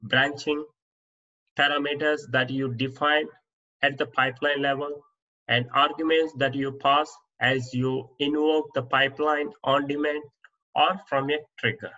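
A man teaching as an instructor, reading interview answers off slides in a plain, steady way with small restarts. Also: a little high, a little slow, lo-fi sound. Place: voice-over on slide presentation.

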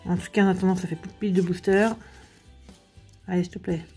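Woman host, casual table-top card opening, chatty, quiet music under it. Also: lowish voice, flat delivery, fast. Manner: chatty, chuckling, commenting card by card. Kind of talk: casual chat while opening card packs. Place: indoors at a table covered in cards.

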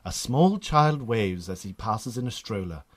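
A man's voice: fairly low pitch, expressive, unhurried. A man with a Northern Irish accent narrating a scripted radio drama.

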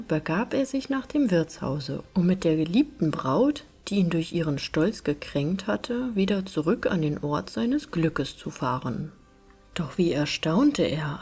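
A woman narrating a fairy tale as an audiobook reader, in an expressive storytelling style. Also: low voice, husky.

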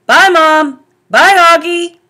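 A woman voicing a headstrong fifteen-year-old girl, raised and bright in tone. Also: extremely high pitch, takes it slowly, pitched above the performer's own voice, heavily acted.

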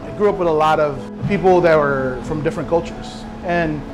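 A man's voice: a little high, brisk, music in the background, rough recording. A young man from Houston whose first language is Tagalog, hosting a documentary and chatting in a friendly, agreeing way. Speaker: documentary host. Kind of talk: casual conversation.

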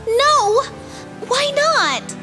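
A woman's voice: very high voice, sing-song, very slow, music in the background, exaggerated voice. A woman voicing a naive, headstrong little fairy princess in a fantasy game, childlike and eager. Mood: protesting, eager.

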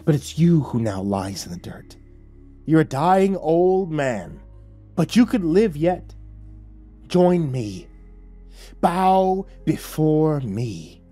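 A man voicing a giant scornful serpent devil that hisses its words slowly and low. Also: very animated, mellow, faint music behind, exaggerated voice. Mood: tempting. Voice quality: hissing, low, drawn-out. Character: hissing serpent devil, huge, scornful, commanding.

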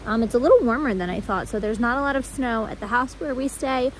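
A woman's voice: a little high, fast, a little hiss or hum, low-quality recording. A young American woman chatting casually to the camera as a vlogger, recorded on a phone at arm's length. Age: young adult. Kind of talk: casual vlog chat to camera.

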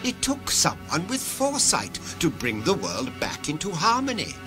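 Imperious titled ruler played by a man, animated delivery. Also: fairly high pitch, normal speed, over music. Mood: proud, persuasive. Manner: formal, pompous, imperious. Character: self-assured titled male director of a future ruling cartel. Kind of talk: audio drama acting.

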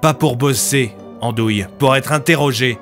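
A man's voice: medium pitch, normal speed, fairly bright tone, soft background music, natural voice. A man voicing an exasperated, curt police commissioner in an audio story, speaking impatiently.

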